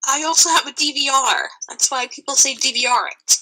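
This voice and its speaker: Very bright, higher-pitched woman in casual chat. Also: a little fast, low-quality recording. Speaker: podcast guest, voice actress and writer.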